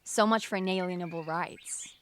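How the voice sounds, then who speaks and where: A woman playing an outspoken, warm-hearted young American wartime worker in an audio drama, chatty and emphatic. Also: lowish voice, normal speed, a little hiss or hum, natural voice. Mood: indignant.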